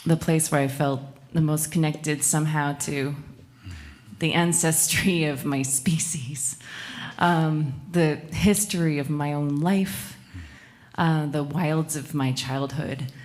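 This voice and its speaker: A woman's voice: sits low, fairly even intonation, slow, a little mellow. A woman from Alaska, a musician introducing a song on a concert stage, speaking reflectively with many hesitations. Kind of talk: casual onstage talk.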